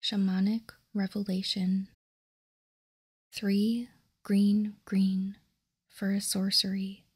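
Slow whispering woman, very close and dry. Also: medium pitch, dry recording. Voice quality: soft, breathy unvoiced whisper. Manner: slow, deliberate, calm reading. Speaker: ASMR video host. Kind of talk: whispered ASMR, reading out trading cards. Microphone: close microphone, dry sound.

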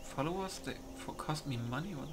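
A man's voice: fairly high pitch, expressive, a little fast, background noise. A man doing casual game commentary, muttering to himself as he reads settings aloud and comments loosely. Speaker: gamer recording a mod setup.

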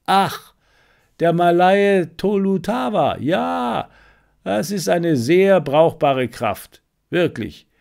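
A man's voice: high-pitched, expressive, drawn-out words, gravelly, darkish tone, pitched above the performer's own voice, full character voice. A man voicing a proud, garrulous menagerie owner in an audiobook, chatty, with little hesitant interjections. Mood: proud, musing.

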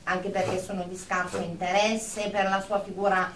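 An actress playing a stern, exasperated group therapist, icily formal and sarcastic, in a comic radio drama. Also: female voice, mid-range voice, flat delivery, moderate pace, noisy background, close to an everyday voice. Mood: coldly formal. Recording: echoey room, distant mic.

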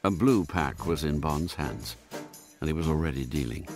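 An actor narrating a spy tale in a radio drama as a measured, scripted storyteller. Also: male voice, extremely low pitch, expressive, over music. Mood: suspenseful.

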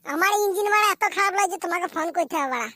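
A performer voicing a hapless, foul-mouthed young cartoon groom in coarse slang, squeaky and childlike. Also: expressive, very fast, bright, forceful tone, heavily acted. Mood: grumbling. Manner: coarse, grumbling, rural slang. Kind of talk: amateur comedy cartoon voice acting.

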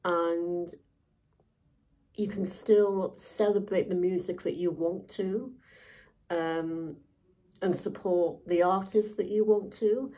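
A young woman with a lowish voice chatting to camera in an easygoing vlog. She talks slowly, full of ums and likes, with fan enthusiasm. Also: fairly even intonation, mellow, poor audio. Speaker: vlogger.

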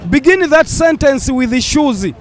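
A man's voice: very high voice, animated, measured pace, crowd noise. A middle-aged man hosting a playful street quiz with a handheld mic, teasing passers-by with tongue twisters. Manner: playful, teasing, laughs often. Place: busy outdoor street. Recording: street noise behind.

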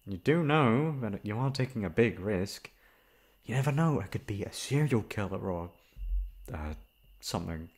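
A young man acting a shy, flustered, sweet-natured male classmate in an ASMR roleplay, speaking in a halting, stammering way. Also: lowish voice, expressive, lightly acted. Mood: hesitant, sleepy. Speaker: voice actor. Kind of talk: ASMR roleplay acting, one-sided dialogue.

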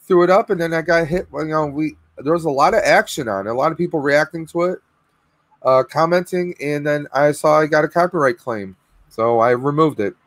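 Hoarse stream host, thirties, talks in a dry, close, higher voice with lots of fillers. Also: male voice, fairly quick, no room sound. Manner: chatty, rambling with fillers. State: losing his voice, clearing throat. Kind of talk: casual live stream chat. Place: home room with collectibles, indoors. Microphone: webcam video call.

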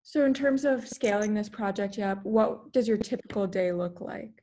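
A woman's voice: medium pitch, moderate pace, mellow. A woman career center interviewer asking friendly, encouraging questions over a video call.

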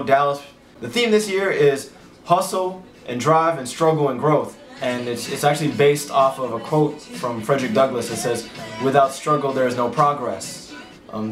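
A young man, the head choreographer, speaking to the camera in a relaxed and eager way, often repeating words and adding fillers. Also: mid-range voice, echoey room. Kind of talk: interview to camera. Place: indoors against a plain wall.

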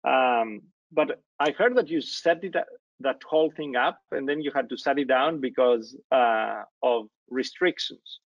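A man from Greece hosts a video call interview, his voice gravelly and pitched mid-range. He talks haltingly, circling back with restarts and fillers. The call audio is dry and dull. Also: no echo. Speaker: host. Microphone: laptop webcam mic on video call.

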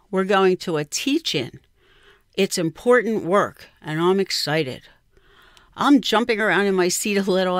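A woman's voice: mid-range voice, no echo, clean studio sound. A woman in her sixties reading her own scripted first-person memoir aloud. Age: 60s.

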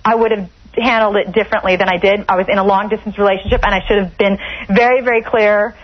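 A middle-aged woman talking candidly and conversationally as a phone guest, explaining herself in an earnest, measured way. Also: mid-range voice, fairly even intonation. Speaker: phone guest on a radio show. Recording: muffled telephone line on radio.

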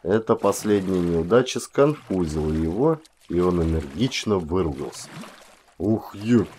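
A man, an actor narrating literary prose for an audiobook in an expressive style. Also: low-pitched, normal speed, a little hiss or hum.

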